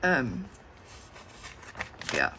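A middle-aged woman losing her voice, chatting slowly and musing aloud as she flips through her planner at a desk. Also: very deep voice, wildly swooping pitch, pushed and bright, a little hiss or hum. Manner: slow and musing, thinking aloud. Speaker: planner channel host. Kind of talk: casual plan-with-me chat. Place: indoors at a desk.

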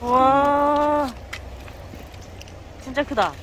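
Female outdoor fishing vlogger, high-pitched, exclamatory casual commentary, noisy seaside recording. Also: steady tone, drawn-out words, fairly bright tone. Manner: exclamatory, often admiring the catch. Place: outdoors on a windy seaside breakwater.

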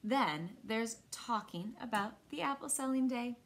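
A woman in her thirties with an American accent, reading a picture book aloud to children with expressive, clearly phrased delivery. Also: on the high side, a little slow. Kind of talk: children's read-aloud storytelling. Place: small indoor room.

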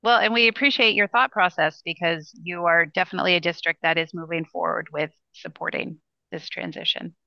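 A woman in her sixties, a state education official, answering questions on a video call with measured, reassuring replies. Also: lowish voice, steady tone, creaky, no echo. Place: small room indoors. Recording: dull, lo-fi video call audio.

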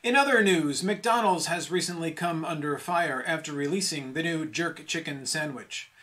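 A man anchors a mock news bulletin in a fairly high voice. He reads from a script in the formal manner of a newsreader. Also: normal speed, sharp tone.

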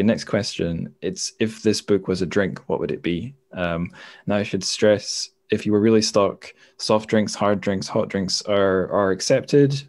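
A man's voice: on the low side, a little mellow. A man hosting a podcast in a casual, chatty interview style. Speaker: podcast host.

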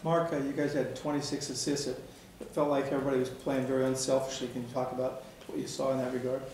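A man's voice: medium pitch, level tone, fast talker, darkish tone. A man asking questions as a reporter at a press conference. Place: indoor press room, off camera. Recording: very echoey room, distant mic, faint noise.

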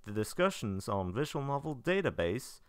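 A man's voice: mid-range voice, big pitch swings, normal speed, smooth, no room sound. A man narrating semi-scripted commentary as a video reviewer, with a dry, understated sarcastic manner. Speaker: video narrator reviewing visual novel releases.

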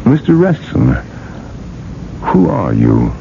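An actor playing a blunt, impatient, skeptical rich industrialist in a radio drama. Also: male voice, mid-range voice, wildly swooping pitch, takes it slowly, creaky, heavily acted. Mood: skeptical, insistent. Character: domineering, skeptical wealthy male industrialist. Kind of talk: 1980s radio drama acting. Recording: dull, hissy old radio recording.

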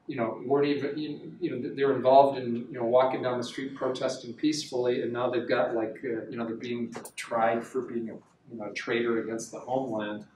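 A man's voice: mid-range voice, flat delivery, brisk, hardly any pauses, darkish tone, a little hiss or hum. A middle-aged man, a journalist, answering audience questions in a rambling way with many fillers and restarts. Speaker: guest speaker, journalist. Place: university classroom. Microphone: far camera, no mic. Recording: echoey classroom from far off.

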